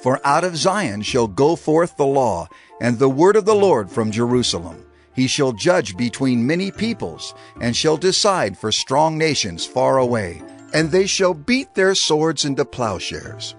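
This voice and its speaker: A male audio Bible narrator reads in a solemn, dramatized style. His delivery is declamatory, with a middling pitch that moves in lively swings. Faint music plays behind him. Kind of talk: dramatized scripture reading, solemn oratory.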